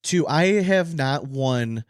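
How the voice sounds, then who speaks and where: A man's voice: on the high side, expressive, slow pace, clear, resonant tone, no room sound, studio quality. A man co-hosting a casual sports podcast, ranting combatively with lots of swearing and emphatic repetition. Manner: combative, profane, repeats himself for emphasis. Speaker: podcast co-host.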